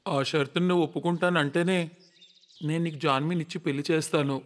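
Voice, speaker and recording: Male actor for a stern, dramatic cartoon family man, higher register, emphatic delivery, reverberant hall sound. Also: fairly even intonation, brisk, natural voice. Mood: stern, demanding. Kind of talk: dramatic cartoon story dialogue. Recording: long reverberant echo.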